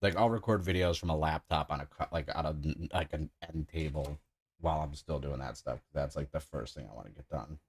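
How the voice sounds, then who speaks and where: Very deep-voiced man in his thirties. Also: fairly quick, breathy edge. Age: 30s. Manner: rambling, enthusiastic, frequent "like" fillers. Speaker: streamer building a model kit. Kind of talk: casual livestream chat while building.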